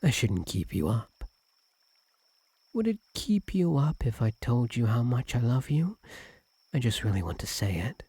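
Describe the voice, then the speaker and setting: Man acting as yandere kitsune husband, very breathy and husky, swooping intonation, possessive, doting, intimate ASMR roleplay, close dry mic. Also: mid-range voice, very animated, measured pace, pauses often, dark tone, full character voice. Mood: tender, adoring. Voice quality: breathy, husky, at times whispered. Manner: tender, clingy, sweetly menacing. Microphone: close, dry microphone.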